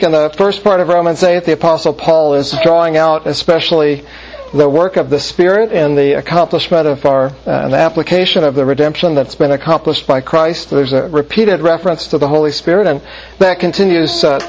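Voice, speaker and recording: A man teaching a Bible class, reading scripture in a measured way and then lecturing with many fillers and restarts. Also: on the high side, darkish tone, highs cut off, a little hiss or hum.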